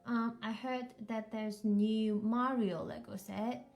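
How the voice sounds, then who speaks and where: A woman's voice: fairly high pitch, fairly even intonation, slow, darkish tone, faint music behind. A woman chatting casually with children as a live storytime host, encouraging and full of questions.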